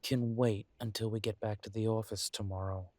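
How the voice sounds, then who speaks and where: A man's voice: lowish voice, steady tone, moderate pace, darkish tone, no echo, natural voice. A man voicing a grumbling but affectionate werewolf detective in an intimate audio roleplay, wry and fondly teasing. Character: gruff, affectionate male werewolf detective, grumbling city dweller.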